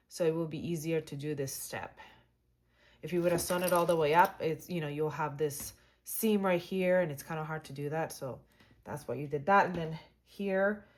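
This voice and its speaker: A woman hosting a sewing tutorial, chatty and upbeat with lots of fillers, her voice hoarse and cutting in and out. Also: low-pitched, a little mellow, a little hiss or hum. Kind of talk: casual how-to demonstration.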